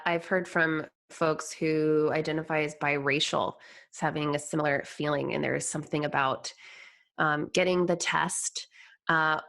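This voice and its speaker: Creaky, low-voiced woman, thoughtful interview guest. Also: fairly bright tone. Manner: thoughtful, searching for words, halting phrases. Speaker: podcast guest. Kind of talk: reflective interview conversation.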